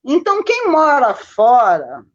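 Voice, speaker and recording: Chatty female Brazilian guest, high voice, casual storyteller full of tag questions, muffled lo-fi audio. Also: huge pitch swings, slow. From born in Manaus, lived mostly in Minas Gerais, Brazil. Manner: chatty, constantly seeking agreement with tag questions. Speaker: guest, Copacabana resident.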